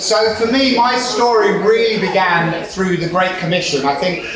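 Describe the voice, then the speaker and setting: A man's voice: sits high, steady tone, normal speed, crowd noise. A man in his forties, native Hebrew speaker from Israel, giving a conference talk in a storytelling way with humour and rhetorical questions. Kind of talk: sermon-style talk. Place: large hall with audience. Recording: echoey hall, far from the mic.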